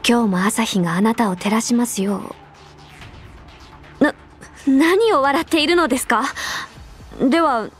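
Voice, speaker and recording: A woman voicing an earnest, rule-bound young female adjutant in an anime game, speaking formally and politely with quiet care. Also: on the high side, measured pace, pauses often, natural voice. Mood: polite, gently scolding. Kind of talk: Japanese anime game voice lines, intimate delivery. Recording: background music under the voice. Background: music.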